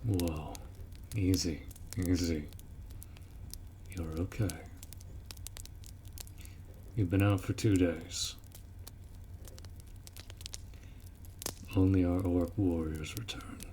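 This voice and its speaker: A man playing a gruff, dutiful orc warrior in a fantasy roleplay audio drama, blunt and protective as he speaks to the listener. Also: deep voice, steady tone, slow pace, pauses often, dark tone, slight background noise. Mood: solemn, reassuring.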